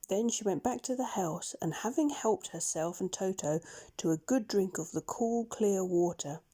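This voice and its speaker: A woman reading a children's story aloud in a measured, expressive storytelling manner. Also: medium pitch. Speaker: storybook reader. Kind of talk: scripted children's story narration.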